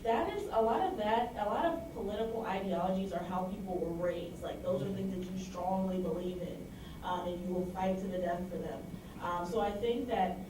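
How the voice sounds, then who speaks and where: A woman's voice: medium pitch, moderate pace. A woman speaking as a panelist at a community forum, earnest and full of fillers. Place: library meeting room. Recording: echoey room, distant mic, noise.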